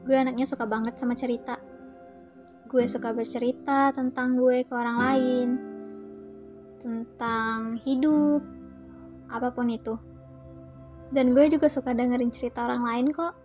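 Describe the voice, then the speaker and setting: A woman hosting a solo podcast in a casual, slangy, playful chat. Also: fairly high pitch. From Indonesia. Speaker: podcast host.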